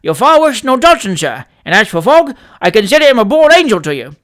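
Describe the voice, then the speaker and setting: A reader voicing a cheeky young male manservant who talks in a dogmatic, forceful, wordy way and drops letters in working-class dialect. The figure stays stubborn throughout. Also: mid-range voice, sing-song, fast, pitched above the performer's own voice.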